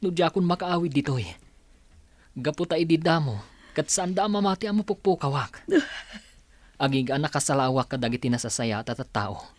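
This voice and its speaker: A man's voice: fairly high pitch, expressive, moderate pace, long pauses, no echo, natural voice. A man with a Filipino accent playing an earnest, wronged son returned home in an audio drama.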